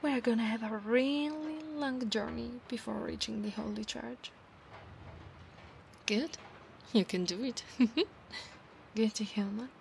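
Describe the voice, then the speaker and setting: A woman acts out a female centaur knight in an ASMR roleplay, doting and possessive toward her human. She has an Italian accent and takes her time, murmuring softly and often whispering, with plenty of creak in the voice. Some background noise sits behind her. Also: a little high, takes it slowly, pauses often. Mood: playful, encouraging. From Italy. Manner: soft, breathy murmurs, often slipping into whispers.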